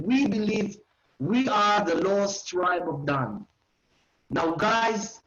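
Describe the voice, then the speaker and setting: A man in his forties with an Israeli accent lectures in a high-pitched voice, speaking directly to his listeners in simple phrases. He is on a video call from a home, and the sound is roomy and a little dull. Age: 40s. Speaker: educational tour guide giving a lecture. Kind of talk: online lecture, oral history. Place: living room at home.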